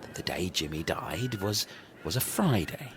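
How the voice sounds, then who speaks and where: A man's voice: low-pitched, sing-song, measured pace. A man performing scripted comedy dialogue. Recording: clearly noisy background.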